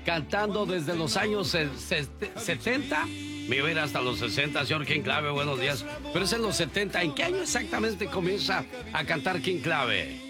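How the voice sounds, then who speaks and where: A male radio host speaks in a formal announcer's manner. His voice sits somewhat high for a man. He addresses both his guest and the audience directly. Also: very animated, moderate pace, music in the background. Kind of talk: radio interview.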